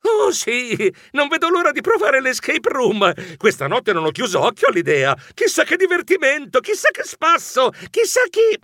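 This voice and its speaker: A fussy, timid storybook mouse hero, acted by a man, whiny and easily flustered. The voice is high and bright, leaping up and down theatrically. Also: wildly swooping pitch, moderate pace, no room sound, studio quality, exaggerated voice. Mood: fake enthusiasm.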